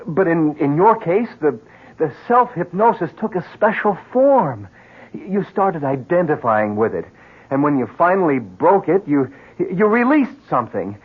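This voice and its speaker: A nervous pleading friend, high voice jumping around, halting, crusty old radio drama sound. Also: male voice, big pitch swings, husky. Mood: pleading, reasoning. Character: anxious, reasoning friend pleading with a dangerous man. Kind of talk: 1970s radio drama acting. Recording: dull lo-fi 1970s radio recording.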